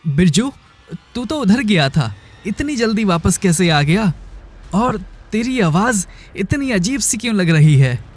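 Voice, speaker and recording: A man's voice: high-pitched, sing-song, a little slow, full character voice. A man voicing an earnest, brooding young village woodcutter in a melodramatic cartoon. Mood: anxious.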